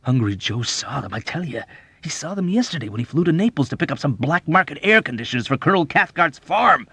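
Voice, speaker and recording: A man voicing a furious, pugnacious airman in an audiobook, with a rough, quavering, agitated voice. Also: high voice, a bit bright, dry recording, voice pushed up, heavily acted. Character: furious, overwrought young airman plotting a killing.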